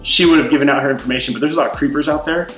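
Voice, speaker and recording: American woman host, low voice, talks fast, direct and jokey, echoey room. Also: fairly even intonation. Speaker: interview host, realtor. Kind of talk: casual interview chat. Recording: roomy echo, faint music behind.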